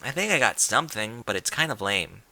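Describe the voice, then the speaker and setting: Man playing a guarded, blunt tomboy pony, bright tone. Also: fairly low pitch, sing-song, brisk, no echo, heavily acted, low-quality recording. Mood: reluctant, awkward. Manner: blunt, hesitant, casual.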